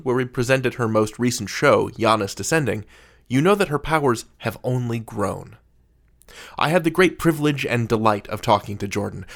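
A man's voice: mid-range voice, a bit bright. A man hosting a podcast, introducing and interviewing in a playful, emphatic way.

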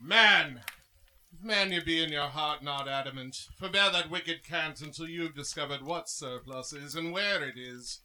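Stern ghostly spirit, acted by a man, high-pitched male, declamatory, scolding, radio drama. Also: measured pace, pushed and bright, slight background noise. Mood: stern rebuke. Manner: declamatory, rebuking. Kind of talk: theatrical radio play acting.

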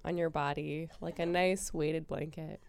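A woman leading a guided meditation, giving soothing, unhurried instructions with pauses between phrases. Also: sits low, steady tone, creaky, darkish tone.

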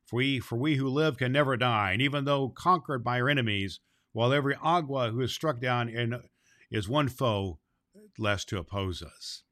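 A man's voice: mid-range voice, moderate pace, fairly bright tone, no echo, studio quality, close to an everyday voice. A man voicing a stern immortal forest master in a storybook, solemn and declamatory as he rallies his folk. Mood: grave, resolute.

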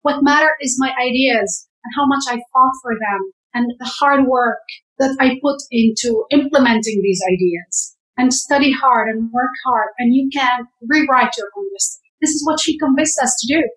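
A woman's voice: high voice, normal speed, a bit bright. A woman from Jerusalem, a guest giving fervent, urging advice in an interview, often repeating herself for emphasis. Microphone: camera. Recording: echoey room.

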